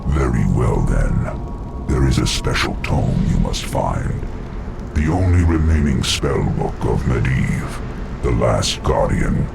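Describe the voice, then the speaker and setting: Huge demon lord, super deep and slow, cold and bossy. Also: male voice, animated, over loud music, full character voice. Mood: commanding. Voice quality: gravelly, very deep. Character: colossal ancient demon lord, commanding and contemptuous. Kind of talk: game cutscene voice acting. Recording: studio voice over loud score.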